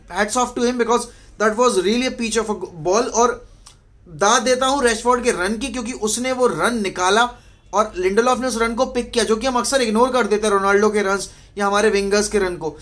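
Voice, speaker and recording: A man's voice: extremely high pitch, normal speed, fairly bright tone. A man in his twenties with an Indian accent, speaking bluntly and emphatically in an exasperated, casual fan rant, recorded in a small bedroom. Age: 20s. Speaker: football fan vlogger. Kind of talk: post-match fan rant, casual Hindi-English mix.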